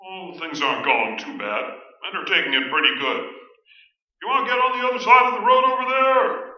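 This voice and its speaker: A man's voice: high-pitched, animated, a little fast. Man playing a laconic small-town sheriff, very echoey. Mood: matter-of-fact. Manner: folksy, matter-of-fact, laconic. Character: unflappable small-town sheriff hunting ghouls. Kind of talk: staged radio play acting. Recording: very echoey stage, distant dull pickup.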